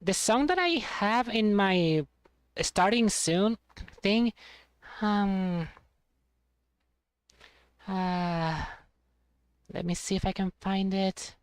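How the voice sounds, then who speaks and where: A woman's voice: medium pitch, animated, very slow, long pauses, clean tone, no room sound, clean studio sound. A woman chatting casually with her stream chat, rambling and self-deprecating, laughing often and restarting her sentences. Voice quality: trained, voice she has been working on.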